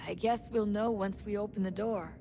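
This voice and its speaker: An actress playing a considerate, curious young teammate in a video game, speaking in a conversational, tactful way. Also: female voice, mid-range voice, fairly even intonation, moderate pace, vocal fry, close to an everyday voice. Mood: sympathetic, curious. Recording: background ambient noise.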